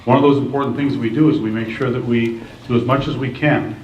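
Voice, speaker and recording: A man's voice: mid-range voice, fairly even intonation, fast talker. A man speaks as a candidate at a public debate, earnest and repetitive, and lays out his points in lists. The recording is dull and echoey and comes from a distance. Faint noise sits under it. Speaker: political candidate. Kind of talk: debate answer. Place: public forum hall.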